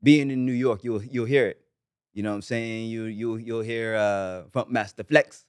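A man's voice: on the low side, steady tone, normal speed, clear, resonant tone, no echo. A man from Barbados, guest on a podcast, chatting casually and easygoing, often adding "you know what I'm saying.". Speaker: guest musician.

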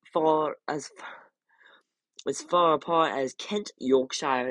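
A man's voice: on the high side, a bit bright, dry recording, pitched above the performer's own voice, poor audio. A man voicing a chatty, folksy male TV weatherman in a storybook reading.

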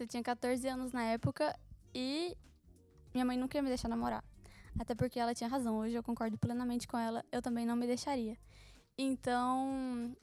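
A young woman, co-hosting a casual couple podcast and telling stories with teasing laughs. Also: fairly high pitch. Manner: laughing, teasing, lively storytelling. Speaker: podcast co-host.

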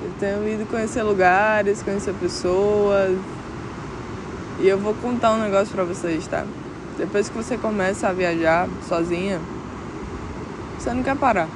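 A woman's voice: mid-range voice, normal speed, long pauses, noisy background. A young woman vlogging outdoors in a casual, slang-filled reflective chat, speaking directly to her viewers. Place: outdoors on a clifftop by the beach.

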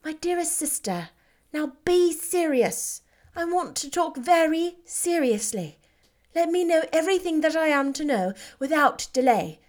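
A woman voicing a gentle, sweet-natured young woman who speaks softly and earnestly. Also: high voice, expressive, unhurried, pitched above the performer's own voice.

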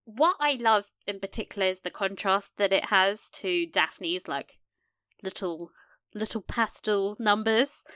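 A woman's voice: mid-range voice, unhurried, pushed and bright. A woman co-hosting a casual, chatty recap discussion, gushing and playful in slangy speech. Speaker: podcast co-host.

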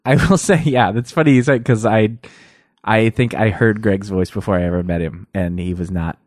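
A man with a nasal voice, a podcast guest chatting casually, halting often and restarting his sentences. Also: fairly low pitch, darkish tone, dry recording, clean studio sound. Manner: hesitant, halting with many restarts. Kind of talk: casual chat.

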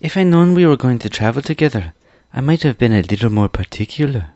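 A man voicing an eager, proud young boy adventurer in a storybook, explaining things excitedly. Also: medium pitch, fast, no room sound, highs cut off, voice pushed up, close to an everyday voice.

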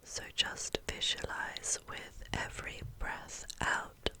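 Slow whispering woman, ASMR relaxation. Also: poor audio. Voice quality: breathy, unvoiced whisper. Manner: gentle, soothing, unhurried instruction. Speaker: ASMR channel host.

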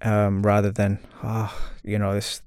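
Low-voiced man in reflective conversation. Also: completely flat delivery, measured pace, darkish tone, clean tone, no room sound, studio quality. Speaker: interview guest, coach.

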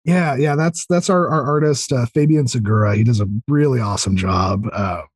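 A man's voice: mid-range voice, normal speed, husky, dry recording, clean studio sound. A man chatting casually as a podcast guest, rambling and repeating words as he thinks aloud. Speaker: podcast guest, audio drama writer. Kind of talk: casual chat.

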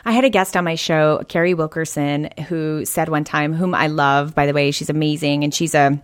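Upbeat woman interviewer, lower register, close dry mic. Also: fairly even intonation, moderate pace, dry recording. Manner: chatty, upbeat, eager interviewer. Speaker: podcast host. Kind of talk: casual interview chat.

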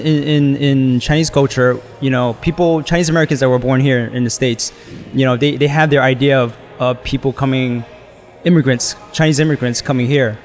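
A man's voice: medium pitch, a little mellow. A man interviewing in a casual conversation, asking questions with frequent restarts and fillers.

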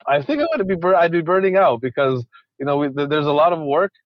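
A man's voice: a little high, lively intonation, fast talker, no echo, lo-fi sound. A man from Ecuador, a conservationist guest, talking casually in an interview with many restarts and repeated words.

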